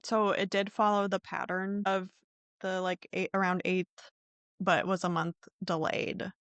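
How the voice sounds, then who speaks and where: A woman's voice: medium pitch, level tone, a little slow, a bit bright, no echo. A woman hosting a podcast, telling true crime stories in an informal, conversational way with a hedging manner. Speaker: podcast host.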